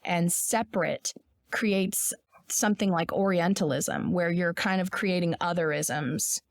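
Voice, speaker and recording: A woman's voice: lowish voice, measured pace, no echo, clean studio sound. A woman co-hosting a podcast, explaining ideas in a blunt, opinionated way and swearing now and then. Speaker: podcast co-host. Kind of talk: casual podcast discussion.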